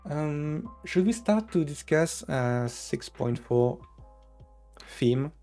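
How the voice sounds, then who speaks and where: A man hosting a casual developer stream, talking in a relaxed way with frequent fillers. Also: a little high, takes it slowly, music in the background. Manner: relaxed, filler-heavy, occasional laughs. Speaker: game developer and stream host. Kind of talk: casual dev stream chat.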